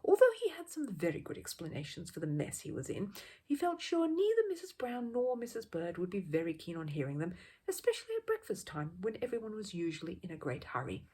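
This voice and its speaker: A woman narrating a children's story as a storyteller, expressively, with a husky, breathy voice. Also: mid-range voice, huge pitch swings, brisk, dark tone.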